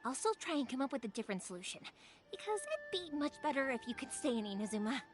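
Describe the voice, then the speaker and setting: High, bright-spirited young woman. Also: quick pace. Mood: reassuring. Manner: cheerful, expressive, earnest. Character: bright, kind-hearted young female fireworks maker. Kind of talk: video game character acting. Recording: faint music behind the voice.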